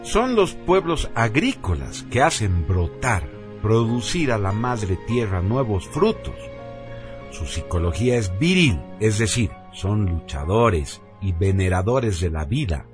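A man's voice: lowish voice, big pitch swings, unhurried. A man reading a scripted radio narration in a solemn, emphatic, declamatory manner. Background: background music.

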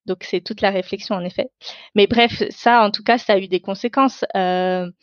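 Woman, tamil first language, interview guest thinking out loud, kinda hesitant, dry close room sound, bit lo-fi. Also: medium pitch, fairly even intonation, moderate pace, no echo. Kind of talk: reflective personal interview, unscripted.

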